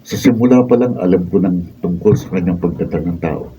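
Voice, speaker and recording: Deep, earnest Filipino husband played by an actor, distant mic. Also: male voice, fairly quick, warm tone, soft background music, lightly acted. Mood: firm, earnest. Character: earnest, steadfast young husband and father defending his love. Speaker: radio drama actor. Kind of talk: Filipino radio drama acting. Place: recording studio, behind-the-scenes camera recording. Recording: roomy distant mic, faint background noise.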